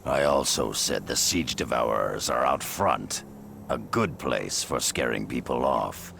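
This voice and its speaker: An actor playing a grim, proud and vengeful beast-warrior rebel leader in a video game, with a deep gravelly growl and a terse, commanding delivery. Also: male voice, animated, a little slow, noisy background, exaggerated voice. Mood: grim, measured. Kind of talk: dramatic video game acting.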